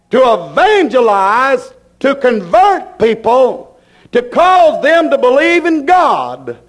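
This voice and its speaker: A man's voice: very high-pitched, rough recording. A man preaching a fervent sermon, emphatic and declarative, repeating phrases to drive them home.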